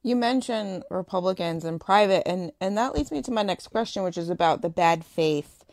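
A woman's voice: lowish voice, animated, normal speed, a little mellow, dry recording. A woman hosting a radio talk show, asking thoughtful, conversational questions. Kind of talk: radio interview.

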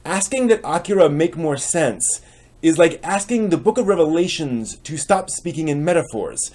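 A middle-aged man hosting and giving a dramatic reading with commentary, using expressive, theatrical emphasis. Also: a little high, normal speed. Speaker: host and reader. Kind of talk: dramatic reading of old online posts with explanatory commentary. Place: small home room with bookshelves, indoors.

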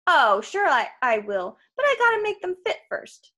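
A woman's voice: high voice, sing-song, normal speed, bright, forceful tone, voice pushed up, exaggerated voice. Woman voicing a swooping, jovially menacing giant salesman. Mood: jovial menace. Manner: folksy sales patter, casually threatening. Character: giant male waterbed salesman villain, jovial, menacing, fussy.

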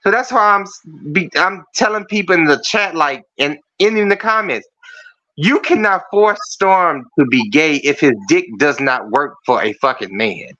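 A man's voice: fairly high pitch, lively intonation, moderate pace, pushed and bright. A man calling in to a chat show, with a feminine-sounding voice, talking bluntly and rambling with plenty of swearing. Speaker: caller. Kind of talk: casual call-in chat. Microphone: video call. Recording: muffled call audio.

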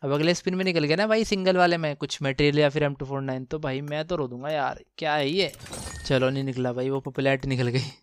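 A man hosts a gaming video in a fairly high, clean voice. He commentates casually and excitedly in slang, exclaiming and repeating phrases for emphasis. The recording is dry and close. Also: quick pace, clean tone, no echo. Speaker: gaming channel host.

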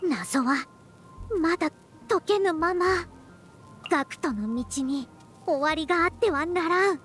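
A woman's voice: extremely high pitch, animated, slow pace, a bit bright, faint music behind, heavily acted. A woman voicing a proud, bossy game character, a youthful-looking century-old scholar with girlish tones and old-fashioned elder speech. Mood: earnest. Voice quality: girlish voice with elderly turns of phrase. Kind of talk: Japanese anime-style game voice acting.

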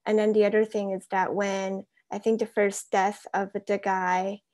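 A young woman speaks as a student in an online seminar, with little movement in her pitch. She is casual and hesitant, working out her thoughts aloud over a video call. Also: level tone, unhurried, darkish tone. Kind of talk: casual class introduction.

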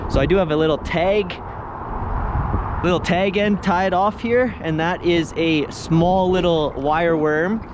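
Brief: young Canadian guy, somewhat high voice, fishing vlogger, chatty and explaining things, action cam audio, wind and traffic noise behind. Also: measured pace. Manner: chatty, coaxing the fish, explaining the rig step by step. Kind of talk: casual outdoor vlog narration. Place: on a river outdoors in wind, fishing from a small float boat. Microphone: action camera mounted on the boat.

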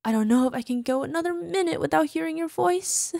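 A woman's voice: sits high, brisk, vocal fry, dark tone, no room sound, studio quality. A woman playing an anxious, clingy girlfriend with a possessive, menacing streak in an ASMR voicemail roleplay, using sugary endearments. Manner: sugary pet names, hesitant pleading sliding into controlled threat.

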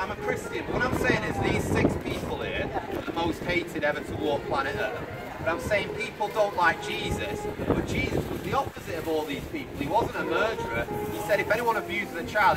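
A man in his thirties with a British accent, preaching in the open air on a town pavement and quizzing passers-by directly. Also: high-pitched. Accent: British English. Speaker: street preacher. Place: outdoors on a town-centre pavement. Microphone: far camera. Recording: distant voice with loud street noise.

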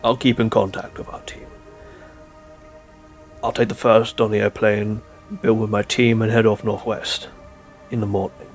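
A man's voice: on the low side, level tone, normal speed, pauses often, soft background music, natural voice. A man playing a driven, zealous scientist-explorer in an audio drama, earnest and intense. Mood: earnest, determined. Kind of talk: dramatic audio play acting.

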